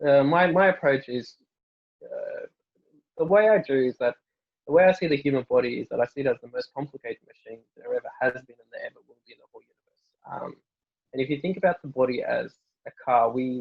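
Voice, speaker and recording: A young man from Norway, Norwegian native, a family doctor answering interview questions in a relaxed way with many fillers and restarts. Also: medium pitch, creaky, dull audio.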